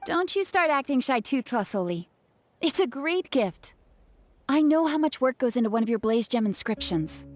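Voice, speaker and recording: A woman's voice: sits high, very animated, normal speed, soft background music. A woman playing an upbeat, grateful young woman with a salesy flair in a video game.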